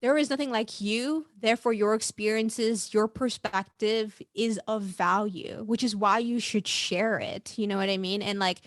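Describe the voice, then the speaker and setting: A woman's voice: mid-range voice, steady tone, normal speed, hardly any pauses, dry recording, clean studio sound. A young adult woman talking casually as a podcast guest, emphatic and encouraging, peppering her sentences with "like". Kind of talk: casual conversational interview.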